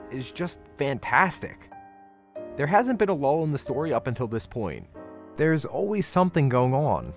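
Animated man narrating a review over music. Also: mid-range voice. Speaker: video host and reviewer. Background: background music.

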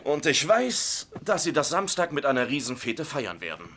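An actor playing a hapless blue-collar husband working as a substitute teacher, blustering and exasperated in a dubbed sitcom. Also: male voice, on the high side, sing-song, moderate pace. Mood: dejected. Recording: noisy sitcom soundtrack with clicks.

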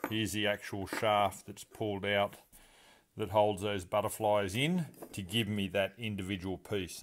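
A man, casually walking through his home garage workshop, rambling and asking viewers for advice. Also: fairly low pitch. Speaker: car restoration vlogger.

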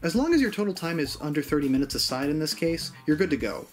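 A man in his thirties hosting an explainer video in a playful, animated way with casual asides. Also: a little high, fast talker, soft background music. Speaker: video host. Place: small home room with hi-fi gear, indoors.

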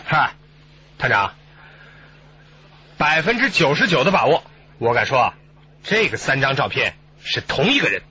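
Radio drama: jokey junior detective, animated delivery, Mainland Mandarin accent, slightly dull recording. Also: male voice, mid-range voice, moderate pace, long pauses, slightly rough, full character voice. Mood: focused. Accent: Mainland Chinese Mandarin. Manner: exclamatory, teasing. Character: chatty, joking junior male police detective. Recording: slightly muffled broadcast with faint noise.

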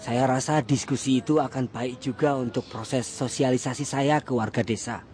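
A man's voice: medium pitch, fairly even intonation, moderate pace, noisy background, close to an everyday voice. A man playing a humble, remorseful reformed man. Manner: soft-spoken, humble, respectful. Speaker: villager returned from prison. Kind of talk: radio drama acting.

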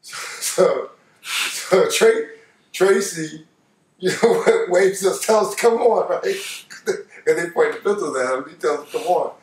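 An older American man with a high voice, spinning a tale in loose, colloquial speech. He repeats phrases to hammer them home. He sounds set back from the microphone in a roomy space. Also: slow, far from the mic. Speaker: storyteller. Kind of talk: animated personal storytelling, casual. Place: living room couch, indoors.

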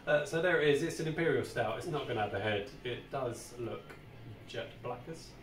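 Roomy, distant sound with clear background noise carries a British man's voice. He talks casually and jokily as a beer review host, often repeating his points. His pitch is average for a man. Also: fairly quick. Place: small home bar room. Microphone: camera a short distance away.

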